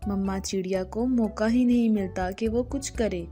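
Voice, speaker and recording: A woman's voice: mid-range voice, steady tone, moderate pace, warm tone, soft background music. A woman narrating a scripted children's story as the storyteller.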